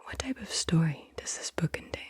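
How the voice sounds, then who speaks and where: A woman whispering softly and breathily as she hosts a soothing, deliberate ASMR guided visualization. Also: no room sound, studio quality. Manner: soothing, slow and deliberate, repeats words softly. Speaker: ASMR host guiding visualization exercises.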